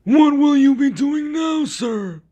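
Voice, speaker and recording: A man voicing a fussy, loyal old royal attendant in an ASMR roleplay, high, strained and deferential. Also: animated, slow, dark tone, heavily acted. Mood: anxious, dutiful. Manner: deferential, anxious.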